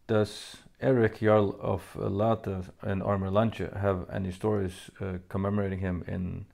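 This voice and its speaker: Faroese-born man, native Faroese speaker, deep gravelly voice, loose halting fan Q&A. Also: level tone, a little mellow. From Faroe Islands. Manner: halting, many fillers and restarts, reads questions aloud. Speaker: musician answering fan questions. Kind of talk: casual Q&A monologue.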